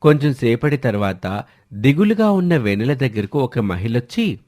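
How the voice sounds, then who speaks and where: Animated male story narrator. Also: medium pitch, moderate pace.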